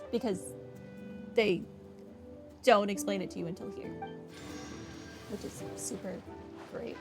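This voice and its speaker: A woman gamer giving casual playthrough commentary with dry, offhand reactions. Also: mid-range voice. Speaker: gaming streamer. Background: video game music.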